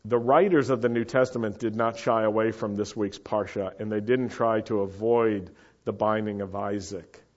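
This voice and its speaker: A man's voice: lowish voice, fairly even intonation, moderate pace. A man giving a teaching sermon to a live congregation, sometimes putting questions to the audience. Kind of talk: Torah-portion sermon. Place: meeting room with a live congregation. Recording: muffled lo-fi recording.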